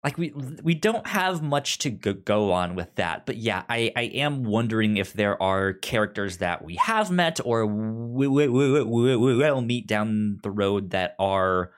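Podcast cohost chatting casually, slow talker w lots of repeats, pitch jumps around a lot. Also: animated. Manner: hesitant, repeats words and syllables. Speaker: podcast co-host. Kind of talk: casual book discussion chat.

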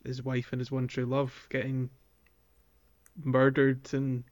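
A man's voice: mid-range voice, completely flat delivery, takes it slowly, a little mellow. A man co-hosting a podcast in informal, sweary, casual conversation. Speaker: podcast co-host. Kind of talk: casual chat.

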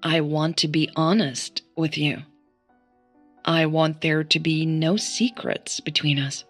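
A woman's voice: deep voice, fairly even intonation, takes it slowly, soft background music. A woman playing an awkward, earnest, chatty woman in an audio drama, hesitant in how she talks.

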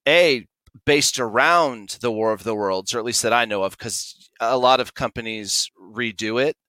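A man's voice: medium pitch, normal speed, sharp tone, no room sound. A man in his forties speaking conversationally as an interview guest, explaining his creative work with enthusiasm. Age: 40s. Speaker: guest, audio drama producer.

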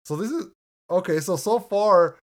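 A man with a deep voice co-hosts a relaxed podcast from home. He reads listener reviews aloud in an easygoing way, often laughing and restarting his words. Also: moderate pace. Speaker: podcast co-host. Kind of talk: casual chat reading listener reviews. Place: home room, recording remotely.

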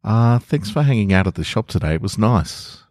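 A man's voice: lowish voice, lively intonation, moderate pace, a little mellow, no echo, clean studio sound. A man hosting a podcast, talking casually and reading short stories aloud as narrator. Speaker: podcast host and author reading own stories.

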